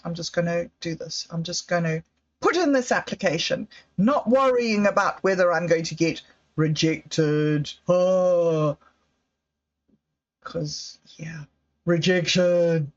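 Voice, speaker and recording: Slow, musing New woman. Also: fairly low pitch. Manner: hesitant, musing, self-doubting. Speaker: livestream host. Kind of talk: casual livestream monologue. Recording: slightly dull lo-fi audio.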